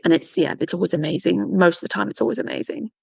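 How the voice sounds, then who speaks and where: A woman's voice: lowish voice, fast, gravelly, husky, no echo. A woman hosting a podcast, chatting casually and laughing often. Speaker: podcast host.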